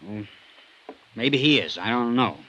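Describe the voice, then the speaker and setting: A man's voice: medium pitch, lively intonation, measured pace, sharp tone, muffled recording. An actor playing a defensive, lovestruck man, earnest and insistent, in a vintage radio drama. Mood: insistent, devoted. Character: defensive, lovestruck man, hot-headed and loyal. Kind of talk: 1950s radio drama acting.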